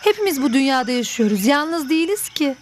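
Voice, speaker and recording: Lady doing a high voiced schoolkid telling someone off, cartoon. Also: big pitch swings, a little fast, a little hiss or hum. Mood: admonishing. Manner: admonishing. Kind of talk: children's educational cartoon acting.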